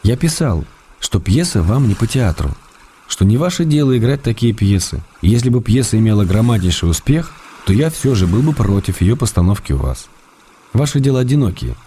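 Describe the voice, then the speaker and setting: An actor playing a wry, affectionate man writing letters to his wife, read in a calm, measured, gently ironic way. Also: fairly low pitch, a little hiss or hum. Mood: fond. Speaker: letter reader. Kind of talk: radio drama letter reading.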